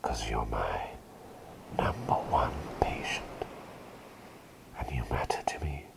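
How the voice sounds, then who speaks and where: A gentle plague doctor played by a man dotes on his patient in an ASMR roleplay. He whispers slowly with a creaky, breathy undertone, repeating soothing phrases tenderly. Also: very deep voice, big pitch swings, exaggerated voice.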